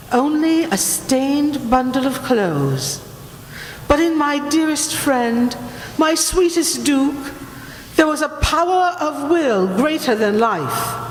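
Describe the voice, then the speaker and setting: Woman acting as haughty italian signora in a radio drama, slow n theatrical, swoops all over. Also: high voice, full character voice. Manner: theatrical, grandly condescending. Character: imperious, obsessive Italian noblewoman telling ghost legends. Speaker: actor in a radio play. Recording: roomy, echoing hall, faint noise.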